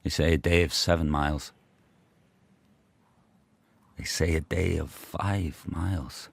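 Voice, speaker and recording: A man's voice: rumbling low, fairly even intonation, gravelly, husky, dry recording, full character voice. An actor playing a proud, fierce sled dog who tells his own hard life in solemn, intense radio drama monologue. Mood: weary, stoic. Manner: solemn, deliberate, intense, short poetic phrases.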